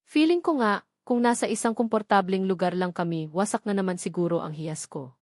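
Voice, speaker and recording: A woman with a Filipino accent narrates a scripted story in the first person, as for an audiobook. Her voice sits at a middle pitch, and the recording is dry, with no room sound around it. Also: fairly quick, a little mellow, no room sound.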